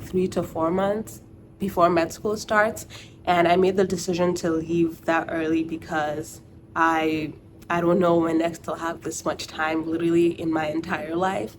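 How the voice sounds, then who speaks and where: A young woman from Nigeria talks through her recent life in a casual vlog. Her voice sits a bit low, and she wanders thoughtfully with frequent "like"s. Clear background noise runs under the track. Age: young adult. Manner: chatty, reflective, peppered with "like". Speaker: vlogger. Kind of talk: casual talking-head vlog.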